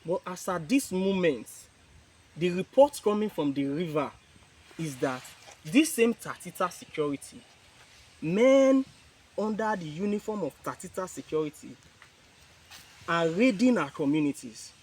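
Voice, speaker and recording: A man with a Nigerian accent making an earnest, measured public appeal as chairman of a youth group. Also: high voice, lively intonation, darkish tone, rough recording. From Niger Delta, Nigeria. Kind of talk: press statement, public appeal.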